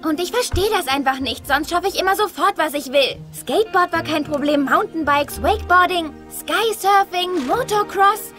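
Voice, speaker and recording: A woman voicing a sporty, flippant young girl who gets frustrated, in a German animated film dub. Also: extremely high pitch, normal speed, hardly any pauses, faint music behind. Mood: sulky, frustrated. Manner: sulky, flippant.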